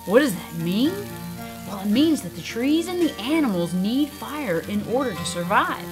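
A woman's voice: a little high, big pitch swings, normal speed. A woman narrating for children in an upbeat, simple way, as a prescribed burner explaining the forest. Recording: voice-over over music. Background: background music.

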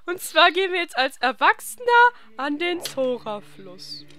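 A woman's voice: extremely high pitch, big pitch swings, measured pace, pushed and bright, dry recording. A young woman hosting a let's play with casual, playful, teasing gaming commentary. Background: video game music.

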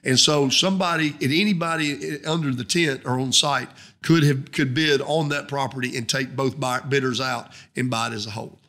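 A man's voice: medium pitch, fairly even intonation, normal speed, few pauses, a bit bright. A middle-aged man with a Southern US accent, explaining his trade in a folksy, repetitive way as a podcast guest on a close studio mic. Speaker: guest, auctioneer. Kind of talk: conversational podcast interview, explaining his business. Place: indoor podcast studio at a round table. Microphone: boom-mounted podcast microphone up close.

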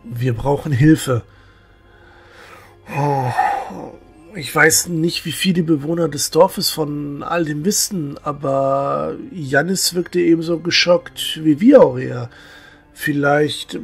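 A devout young fantasy hero, acted by a man, torn between righteousness and doubt. He speaks slowly and haltingly, his voice a little husky, with faint music underneath. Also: on the high side, exaggerated voice. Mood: wary. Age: young adult. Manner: hesitant, halting, solemn. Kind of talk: fan-made fantasy audio drama acting.

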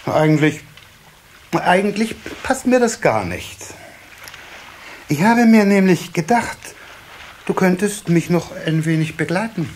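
A man's voice: sits high, expressive, a little slow, long pauses, darkish tone. A man playing a kindly, proud water sprite father in a children's radio play, musing and fatherly. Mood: fatherly, indulgent. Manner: fatherly, musing, hesitant repetitions. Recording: faint hiss of old cassette.

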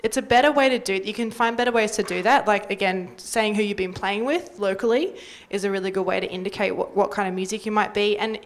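A woman's voice: medium pitch, fast. Chatty young Australian woman on a panel. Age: young adult. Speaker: panellist from community radio. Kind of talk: casual panel talk. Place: indoor workshop panel before a small audience. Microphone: handheld microphone.

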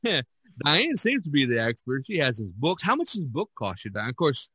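A man's voice: medium pitch, sing-song, a little fast, pushed and bright, breathy edge, no room sound. A man hosting a podcast in a casual, rambling and jokey way. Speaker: podcast host. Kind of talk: casual chat about painting.

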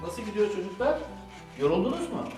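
Older man with lively, swooping intonation. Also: high voice, a little fast. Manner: addresses children with endearments and guiding questions. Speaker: grandfather hosting a children's toy-making show. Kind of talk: step-by-step craft instruction for children. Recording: roomy echo with music. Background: music.

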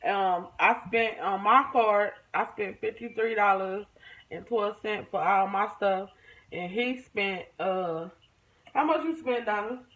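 A woman's voice: mid-range voice, slow pace, a bit bright, highs cut off. An American woman chatting casually to camera in a folksy, informal way, as a vlogger at home. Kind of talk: casual chat to camera, showing purchases. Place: room at home, indoors. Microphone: phone or camera at arm's length. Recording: noisy handheld home recording, clicks.

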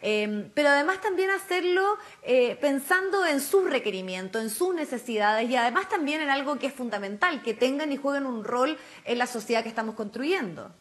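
A woman's voice: high-pitched, lively intonation, normal speed, hardly any pauses. A woman in her thirties interviewing a guest on a television news program from home, asking long, winding questions. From Chile. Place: home room.

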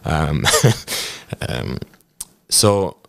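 Man with Quebec French accent, deep voice, chatty podcast guest, close dry mic. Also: extremely slow, gravelly, dry recording. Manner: animated, many restarts, mixes in English words. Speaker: podcast guest, professional hockey player. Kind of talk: casual storytelling interview. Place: indoor podcast studio with bookshelves. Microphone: studio podcast mic on boom arm.

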